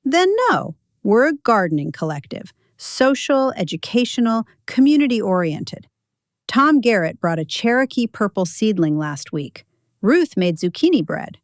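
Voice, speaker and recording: A woman voicing an unflappable older mother and teacher, deadpan, precise and matter-of-fact, in an audio story. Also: mid-range voice, a little slow, no room sound, clean studio sound, pitched above the performer's own voice. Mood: composed.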